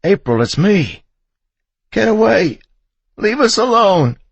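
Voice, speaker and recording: A frightened young mother in a dramatic audiobook, acted out by a man. The voice is pushed high and shrill, strained and husky at the edges. The manner is panicked and defensive, in a dry, close recording. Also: very animated, takes it slowly, no room sound, exaggerated voice. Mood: terrified, shrieking. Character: frightened, frantic young mother shrieking at gunpoint.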